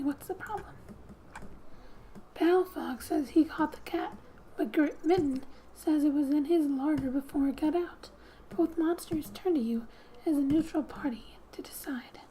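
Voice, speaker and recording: Soft, high-voiced woman doing ASMR gaming. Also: slight background noise, rough recording. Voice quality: soft, often dropping to a whisper. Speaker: video game streamer. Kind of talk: soft-spoken ASMR gaming commentary and read-aloud. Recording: quiet background music. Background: soft music.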